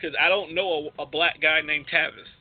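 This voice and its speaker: An American man with a husky voice hosting an informal radio talk show, searching for his words as he goes. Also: a little high, steady tone, normal speed. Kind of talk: casual topical talk. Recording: narrow tinny phone-like line.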